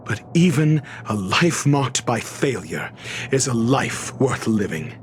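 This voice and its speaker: An actor playing an arrogant, fastidious scholar-doctor in a video game, speaking in a pompous, measured, formal way. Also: male voice, mid-range voice, big pitch swings, slight background noise. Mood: lofty lecturing. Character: arrogant, erudite male scholar-doctor, fastidious and disdainful of fools. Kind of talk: scripted video game cutscene dialogue.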